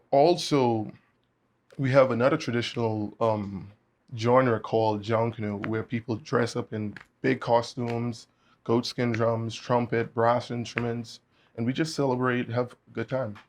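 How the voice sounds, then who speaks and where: A young man from the Bahamas, a guest musician talking in a relaxed way, with many fillers. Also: medium pitch, a little slow, a little hiss or hum. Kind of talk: casual interview chat.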